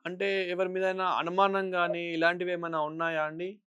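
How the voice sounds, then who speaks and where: A man's voice: high-pitched, flat delivery, moderate pace, bright, forceful tone, clear, resonant tone, dry recording, lo-fi sound. A man working as a news interviewer, putting long, wordy questions in a phone interview.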